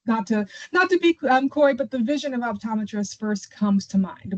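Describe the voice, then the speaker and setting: A woman's voice: mid-range voice, darkish tone. A woman hosting an informational webinar as presenter, speaking over an online call. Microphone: online video call. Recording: dull, lo-fi webinar audio.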